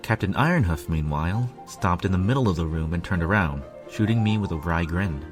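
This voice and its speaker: A man narrating a story in the first person with a measured, earnest, dramatic delivery. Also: low-pitched, lively intonation, soft background music.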